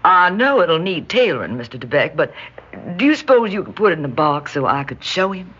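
A woman's voice: low voice, very animated, a little fast, fairly bright tone, slightly rough, heavily acted. An actress in an old-time radio drama playing a genteel, lonely shopkeeper who dotes on her cousin, fluttery and pleading in manner. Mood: polite, demure. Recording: dull noisy old radio recording.

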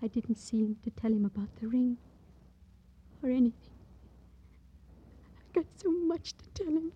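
An actress playing a guarded, plaintive eighteen-year-old working-class girl in a 1950s radio crime drama, speaking in simple, halting phrases. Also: a little high, expressive, husky, muffled recording. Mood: plaintive, sad.

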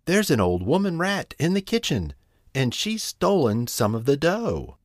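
A man's voice: medium pitch, very animated, a little slow, no room sound, studio quality, voice pushed up. A man voicing a frightened little girl kitten in a children's storybook, pleading childishly.

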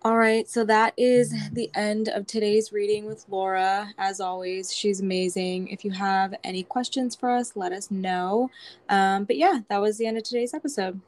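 Woman podcast co-host, brisk routine sign-off, dry close mic. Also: mid-range voice, dry recording. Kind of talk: podcast outro spiel.

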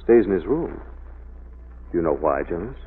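An actor playing a suave, probing amateur detective in polished 1940s radio drama style, on an old recording. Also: male voice, low voice, big pitch swings, normal speed, slightly rough, narrow, tinny audio, full character voice. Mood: probing, firm. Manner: polished, assured, questioning.

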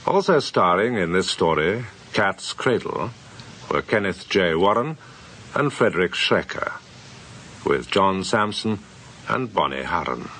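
Deep measured announcer guy doing radio credits, unhurried, bit of noise behind. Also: expressive, a bit bright. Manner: measured, formal. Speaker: closing announcer.

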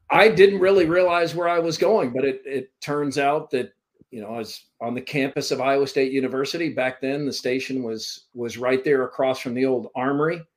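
A middle-pitched man in his fifties, originally from Vermont, tells stories from his broadcasting career in a loose, rambling way. He pokes fun at himself as he goes, speaking over a computer call. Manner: rambling, self-deprecating storyteller. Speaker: podcast guest, sports broadcaster. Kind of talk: casual storytelling interview. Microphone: remote call from a computer.

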